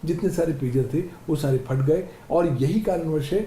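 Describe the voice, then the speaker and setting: Man, extremely mellow and dark tone, methodical analytical expert, roomy echo, faint noise behind. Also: fairly high pitch, normal speed. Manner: methodical, point by point, mixing in English technical terms. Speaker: cyber security expert guest. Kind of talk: analytical interview explanation. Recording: echoey room with background noise.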